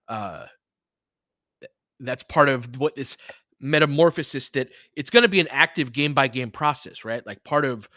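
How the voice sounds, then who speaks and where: Man, sports stream host, bright voice, dry sound, casual play-by-play and analysis, conversational, mildly profane. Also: mid-range voice, dry recording. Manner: conversational, chatting back to listeners, mildly profane.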